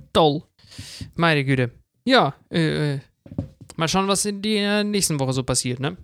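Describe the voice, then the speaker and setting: A man's voice: fairly high pitch, lively intonation, takes it slowly, a bit bright, no echo, studio quality. A man co-hosting a podcast, chatting informally and flippantly with English slang thrown in. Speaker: podcast co-host. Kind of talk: casual chat.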